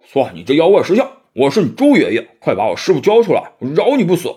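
A man voicing a boastful, blustering young pig demon in a storybook, loud and raised. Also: a little high, wildly swooping pitch, fast talker, breathy edge, pitched above the performer's own voice, exaggerated voice.

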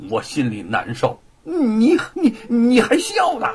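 A man's voice: high voice, wildly swooping pitch, takes it slowly, fairly bright tone, a little hiss or hum, voice pushed up, full character voice. A male storyteller voicing a blunt, big-hearted man who speaks earnestly and with pain about his feelings. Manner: earnest, pained. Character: blunt, big-hearted older brother type, lovesick man.

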